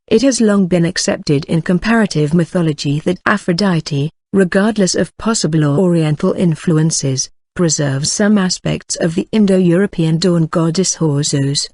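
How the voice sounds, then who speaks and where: A synthetic-sounding voice reading an encyclopedia article aloud, keeping the same even delivery through section headings and sometimes mispronouncing names. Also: medium pitch, no room sound, clean studio sound.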